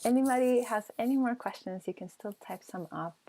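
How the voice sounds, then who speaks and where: A woman from Peru, an artist answering questions in an online talk, with many pauses, ums and restarts. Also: on the high side, dark tone, rough recording. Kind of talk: casual Q&A answers.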